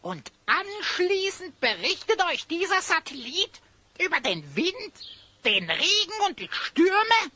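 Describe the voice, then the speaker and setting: A woman playing a stubborn, excitable little elf goblin in a fantasy audio drama, emphatic and quick to repeat protests. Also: very high voice, heavily acted. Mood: indignant, disbelieving. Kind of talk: German audio drama acting.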